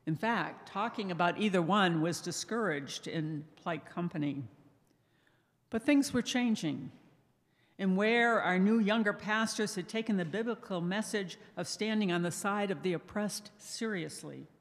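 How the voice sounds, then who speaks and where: Older lady telling church folks her memories, quiet measured voice, lots of church echo. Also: medium pitch. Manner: soft-spoken, measured, reminiscing. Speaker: lay speaker sharing a personal testimony. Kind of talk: reflective personal talk to a congregation. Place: church sanctuary. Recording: echoey church hall reverb.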